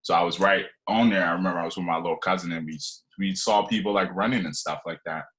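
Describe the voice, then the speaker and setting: Deep voiced guy in his 20s from liberia, kinda tense bright tone, loose rambly storytelling on a podcast. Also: fairly even intonation, fast talker. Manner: loose, rambling, many fillers and restarts. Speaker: podcast guest. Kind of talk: casual conversational storytelling.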